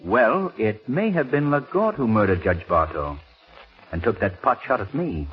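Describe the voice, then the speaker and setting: A man playing a calm, determined gentleman detective in a 1940s radio mystery, speaking in an urbane, deliberate way. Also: on the low side. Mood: measured, probing. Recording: dull lo-fi 1940s radio recording.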